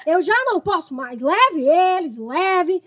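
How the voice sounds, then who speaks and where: Nagging mother-in-law in a radio soap, super high voice, always scolding. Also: expressive, takes it slowly, heavily acted. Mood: exasperated. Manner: complaining, scolding. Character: shrill, quarrelsome mother-in-law fed up with household.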